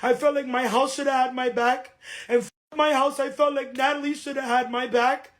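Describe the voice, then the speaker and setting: A woman's voice: high voice, little pitch movement, normal speed. An upset, emotional woman speaking confessionally to followers on a livestream. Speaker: reality show cast member.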